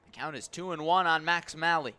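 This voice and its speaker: A man calling baseball play-by-play on the radio, measured between pitches and swelling into excited calls on plays. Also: clear, resonant tone. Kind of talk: live radio sports commentary.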